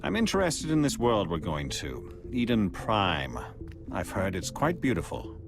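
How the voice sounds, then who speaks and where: An actor playing a composed, watchful alien agent in a video game, speaking in a measured, formal, probing way. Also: male voice, on the low side, huge pitch swings, creaky, music in the background, full character voice. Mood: calm, probing. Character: composed, watchful alien Spectre agent, a male outsider evaluating humans. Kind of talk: video game cutscene acting.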